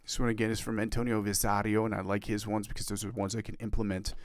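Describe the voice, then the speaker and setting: A man's voice: level tone, fast, dry recording. A congested man live streaming casually as a guitar streamer, rambling with lots of fillers. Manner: rambling, filler-heavy, upbeat pitch to viewers. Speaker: guitar livestreamer.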